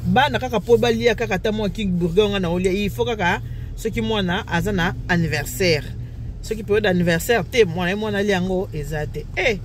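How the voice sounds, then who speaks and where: A woman's voice: mid-range voice, a little fast. A Congolese woman whose first language is Lingala, chatting playfully and laughing as she orders food at a drive-through from her car. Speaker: vlogger. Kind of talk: casual vlog chat. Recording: car and traffic noise.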